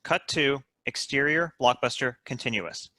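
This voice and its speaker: A man narrates stage directions from a script. His mid-pitched voice is bright and forceful, recorded close and dry. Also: moderate pace, dry recording. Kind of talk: scripted narration at a comedy table read.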